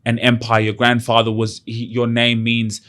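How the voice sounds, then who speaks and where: A man's voice: medium pitch, monotone, moderate pace, fairly bright tone. A man speaking as a guest on a casual podcast interview, talking passionately and emphatically, repeating his key points with frequent "you know" and "like".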